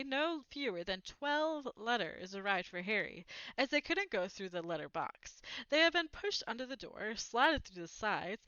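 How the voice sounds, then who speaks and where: A woman reading a children's book aloud as an expressive storyteller. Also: fairly high pitch, no room sound, lo-fi sound.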